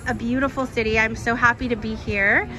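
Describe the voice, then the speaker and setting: A woman's voice: fairly high pitch, steady tone, fairly quick, crowd noise, poor audio. A woman hosting casual street interviews outdoors, effusive and full of compliments for each guest. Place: busy city street outdoors. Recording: street traffic noise. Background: passing cars and street bustle.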